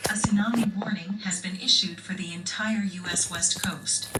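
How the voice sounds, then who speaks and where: A woman's voice: mid-range voice, fairly even intonation, normal speed. A woman anchoring a scripted breaking news report. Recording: noisy, echoey playback from far off.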